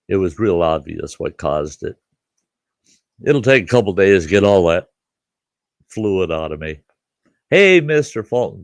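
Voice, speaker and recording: An older man with a congested voice, hosting a relaxed live chat, greeting viewers by name and rambling with frequent chuckles. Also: deep voice, vocal fry, no echo. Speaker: livestream host.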